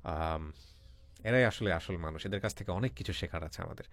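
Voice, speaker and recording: A man's voice: low voice, a little fast, fairly bright tone, no room sound. A man with a Bangladeshi accent hosting an emotive interview show, often repeating phrases for emphasis. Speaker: radio show host.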